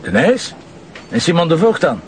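An actor playing a wry, stubborn police commissioner in a radio drama, with a Flemish accent and dry, colloquial banter. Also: male voice, on the high side, big pitch swings, moderate pace, slight background noise. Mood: urgent, surprised.

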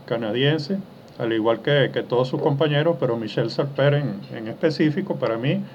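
Male music show host, mid-pitched, hesitant and chatty, muffled lo-fi recording. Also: a little hiss or hum. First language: not French. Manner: chatty, hesitant, many fillers. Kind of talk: casual radio-style music chat.

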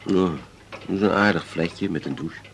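An actor playing a troubled middle-aged father in a radio drama, confiding haltingly and repeating his words. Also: low voice, vocal fry, slight background noise. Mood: compassionate. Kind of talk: naturalistic 1980s radio drama acting.

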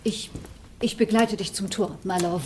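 An actress playing a cool, understated police chief's wife in a noir radio drama. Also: female voice, medium pitch, a little slow, noisy background, lightly acted. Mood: quiet, intimate.

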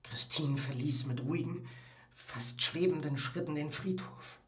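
An actor playing a sensitive young nobleman in a German radio drama, recounting an uncanny experience with halting, awestruck delivery. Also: fairly high pitch, close to an everyday voice. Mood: shaken, haunted.

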